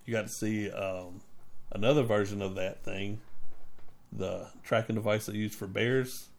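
A man's voice: on the low side, fairly even intonation, a little slow, creaky. A man co-hosting a casual chat at home with his child, prompting with leading questions. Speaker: podcast co-host talking with his child.

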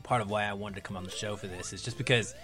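A man's voice: fairly low pitch, fairly even intonation, rapid-fire, music in the background. A man chatting casually and enthusiastically as a guest on a podcast. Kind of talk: casual chat.

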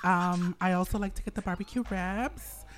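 A man's voice: high-pitched, fairly even intonation, moderate pace, faint music behind. A man co-hosting a comedic chat show, talking in a playful, campy way and laughing often. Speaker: co-host.

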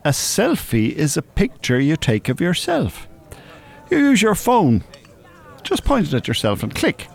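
A man's voice: a little high, wildly swooping pitch, unhurried, vocal fry, slightly rough, full character voice. An actor playing a wry, worldly, cynical older man with an Irish accent in a naturalistic radio drama. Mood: teasing, amused. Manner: dry, teasing, opinionated.

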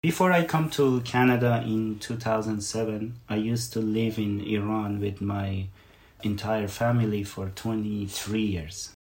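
A man in his forties from Afghanistan, a guest answering interview questions with many pauses and fillers. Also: on the low side, steady tone, darkish tone, low-quality recording. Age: 40s.

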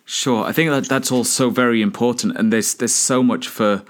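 A man interviewing an author, framing careful academic questions in a measured, courteous way, often repeating words as he goes. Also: medium pitch, fairly even intonation.

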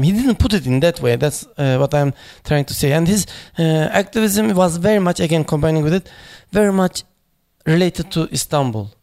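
A man speaking at a memorial panel, halting and searching for words, with many fillers and restarts in English that is not his first language. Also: fairly high pitch, a little mellow. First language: not English; foreign accent in Turkish noted.

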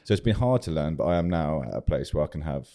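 A man's voice: a bass voice, lively intonation, quick pace, mellow. A man from South England, a church leader telling his story in an easygoing, joking way. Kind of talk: interview conversation.

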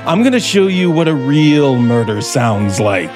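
A bitter, sardonic American writer in a 1950s radio drama. He is intense and deliberate, speaking slowly with big swings of pitch and seething resentment. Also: big pitch swings, over music. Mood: seething, menacing. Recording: vintage 1950s radio recording.

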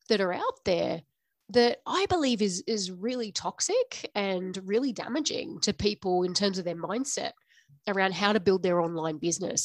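A woman's voice: medium pitch, no room sound. Nasal, stuffy-nosed woman podcast host. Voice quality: slightly nasal. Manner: frank, tells it like it is, laughs often. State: stuffy nose after the flu. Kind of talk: unscripted business podcast monologue.

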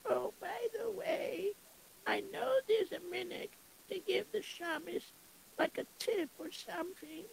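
A tearful, grieving widow, voiced by a woman, who sighs and moans in a very high, quavering voice full of creak. She speaks slowly and hesitantly with a lilt. A faint hiss sits under the recording. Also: heavily acted, low-quality recording. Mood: mournful. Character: grieving poor widow of a shoemaker.

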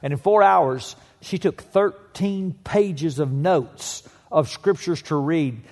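A man's voice: on the high side, slow, darkish tone, long reverb tail. A man preaching a sermon, telling a personal story in a conversational style. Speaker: pastor.

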